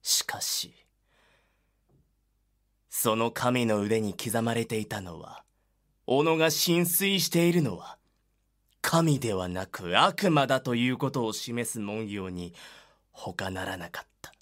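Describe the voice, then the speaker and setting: Man narrating a dramatic story, mid-pitched. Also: expressive, takes it slowly, pauses often, fairly bright tone.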